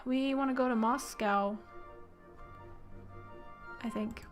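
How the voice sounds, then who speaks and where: A woman's voice: fairly high pitch, steady tone, takes it slowly, soft background music. A woman streaming a game, chatting casually, giggling and reading the game's text aloud with asides. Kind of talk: casual live-stream chat while playing a game.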